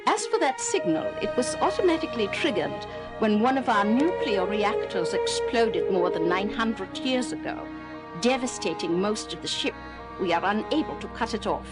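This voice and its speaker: Alien ship director, female, played by an actress: assured, formal, varied pitch over prominent music, audio drama. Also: expressive, normal speed, natural voice. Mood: composed, formal. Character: composed, authoritative alien woman ship official. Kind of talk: 1970s audio drama acting. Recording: loud music behind the voice.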